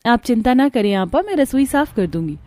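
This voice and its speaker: A woman's voice: a little high, animated, normal speed, a little mellow, natural voice. Woman as a meek pious young wife in a hindi cartoon, polite, pleading, light music under it. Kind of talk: Hindi cartoon story acting.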